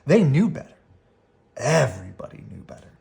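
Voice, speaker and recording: A woman reading a children's bedtime story aloud in expressive narration. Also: a bass voice, moderate pace, gravelly. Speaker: storybook reader.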